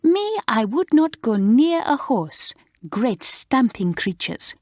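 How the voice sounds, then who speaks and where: A fussy French schoolmistress. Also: female voice, very animated, darkish tone, no room sound, clean studio sound. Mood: fretful. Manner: put-on French accent, high and drawn-out.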